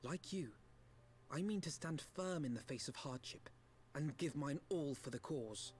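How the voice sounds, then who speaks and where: A man's voice: on the high side, measured pace, darkish tone, close to an everyday voice. A man as an earnest, proud young commander. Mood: regretful, resolute. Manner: formal, solemn, eloquent. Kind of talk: fantasy game acting in archaic formal English.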